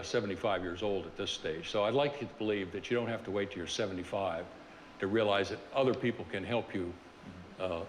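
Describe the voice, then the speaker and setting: An older man speaks in a low voice, hesitant and full of fillers, as he reflects on his working life at a university seminar. His voice carries a long reverb from a large room, with faint noise underneath. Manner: halting, many fillers and restarts. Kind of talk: reflective lecture on his career.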